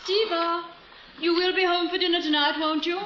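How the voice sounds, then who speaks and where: A woman's voice: extremely high pitch, fairly even intonation, moderate pace, fairly bright tone, low-quality recording. An actress playing a polite, domestic aristocratic wife and mother in an old-time radio drama style. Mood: pointed.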